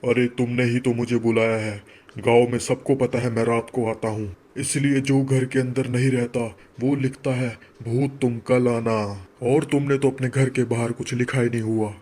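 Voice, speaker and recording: A man's voice: mid-range voice, little pitch movement, moderate pace, darkish tone, lightly acted. Menacing, gloating village ghost played by a man.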